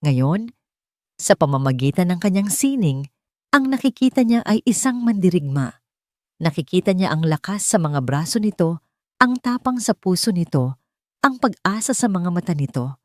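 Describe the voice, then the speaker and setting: A woman's voice: on the low side, sing-song, moderate pace, no room sound, studio quality. A woman reading a scripted story as an audiobook narrator.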